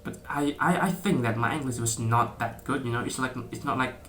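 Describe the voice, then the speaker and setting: Bright-voiced young Indonesian man, hesitant. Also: mid-range voice, steady tone, brisk. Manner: hesitant, many fillers and restarts, laughs often. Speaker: vlogger. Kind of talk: casual talk to camera.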